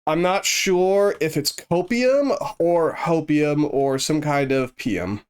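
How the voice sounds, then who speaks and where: A man's voice: a little high, expressive, slow pace, clean tone. Congested man chatting casually on stream. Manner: rambling, easygoing, thinks aloud with many restarts. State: congested, sniffly. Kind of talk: casual chat with live viewers while gaming.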